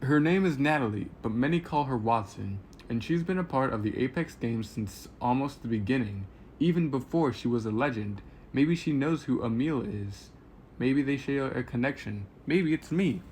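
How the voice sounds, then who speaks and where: A man's voice: medium pitch, expressive, normal speed, a little mellow, pitched above the performer's own voice, close to an everyday voice. A man voicing a friendly, childlike robot who narrates his travels in earnest, simple, innocent phrasing. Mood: curious, hopeful. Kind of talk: scripted first-person narration read aloud. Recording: clear background noise.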